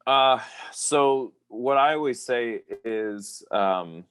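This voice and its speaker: A man's voice: mid-range voice, very slow, fairly bright tone, clear, resonant tone, dry recording. A man from Pennsylvania, a professional wrestler, talking as a guest in a casual interview and telling rambling stories in an easygoing way. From Pennsylvania, USA.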